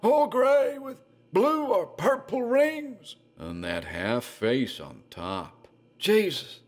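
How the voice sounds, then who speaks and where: A terror-struck country man, as a male narrator puts him on, raves in a thin, strained voice pitched far above the narrator's own deep tone. His words come out broken, gasping and half-coherent, with slow, dragged phrases that suddenly leap higher. Faint music plays underneath. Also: big pitch swings, full character voice. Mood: terrified. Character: terrified rural man babbling in shock.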